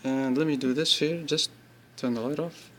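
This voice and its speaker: A man giving an informal, unscripted product demonstration, speaking haltingly in short pieced-together bursts. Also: medium pitch, fairly even intonation, fairly bright tone. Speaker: app demonstrator.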